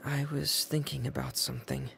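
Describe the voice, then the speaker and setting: Game casting: a quiet, tentative teen boy, middle pitch with some fry, pensive, faint noise behind. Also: little pitch movement, normal speed. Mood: hesitant, uneasy. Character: shy, soft-spoken, pensive teenage boy. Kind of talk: video game voice acting.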